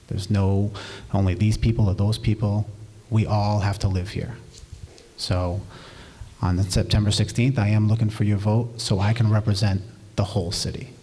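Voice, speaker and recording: A man's voice: fairly low pitch, level tone, normal speed, mellow, husky. A man, a single father and mayoral candidate, making an earnest forum speech with repetitive appeals. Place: community forum hall.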